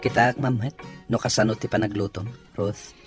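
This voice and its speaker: A man's voice: fairly low pitch, fairly even intonation, a little fast, music in the background, natural voice. A man playing a casual, evasive young employer who is kind to his maid, in an audio drama. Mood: friendly.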